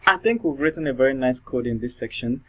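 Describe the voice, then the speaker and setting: Guy with a non-native english accent walking through code, lots of ums and restarts, mid pitch. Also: lively intonation, fast talker, pushed and bright. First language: not English. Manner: thinks aloud, many fillers and restarts. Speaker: programming tutor. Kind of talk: screen-recorded coding tutorial.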